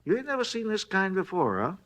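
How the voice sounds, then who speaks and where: Talkative backwoods night clerk, bright, lively, folksy grammar, 1950s radio play. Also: male voice, sits high, quick pace, full character voice. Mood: chatty. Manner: rambling, chatty, rustic grammar. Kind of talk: 1950s radio drama acting.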